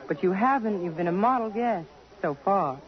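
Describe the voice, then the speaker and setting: A woman's voice: medium pitch, animated, normal speed, natural voice. An actress playing a poised, self-possessed young woman under suspicion in a 1950s radio drama, composed, direct and earnest. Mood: polite, guarded. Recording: dull lo-fi 1950s radio recording.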